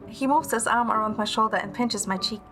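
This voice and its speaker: A woman's voice: on the high side, brisk, music in the background. A woman reading scripted first-person narration and dialogue as the heroine of an audio drama. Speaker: audio drama narrator, also playing the young producer heroine.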